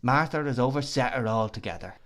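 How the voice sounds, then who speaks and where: A man reading aloud, voicing a gentle young fisherman who speaks softly in plain country dialect. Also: brisk, close to an everyday voice. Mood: tender. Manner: soft, low, rustic dialect speech.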